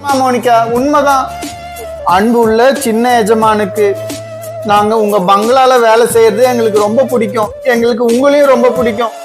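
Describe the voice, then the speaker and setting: Comedy skit houseboy, played by a man: deferential, put-upon, earnest, loud music underneath. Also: very high voice, fairly even intonation, normal speed. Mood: hurt, earnest. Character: humble, aggrieved male house servant.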